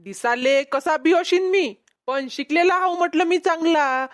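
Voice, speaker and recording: A woman's voice: extremely high pitch, measured pace. A woman voicing a boastful, haggling young male street peddler in a cartoon comedy, with a Vidarbha Marathi accent. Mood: indignant, boastful. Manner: cocky, bargaining.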